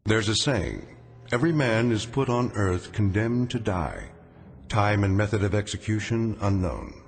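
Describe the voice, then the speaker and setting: A man delivering scripted dramatic narration as narrator, measured and ominous. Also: on the low side, animated, music in the background.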